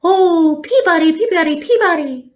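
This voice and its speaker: A woman reader puts on a small cheerful songbird from a storybook. The calls leap very high above normal speech, chirpy and sing-song, repeating in short bursts. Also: lively intonation, normal speed. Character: small songbirds calling brightly in early summer.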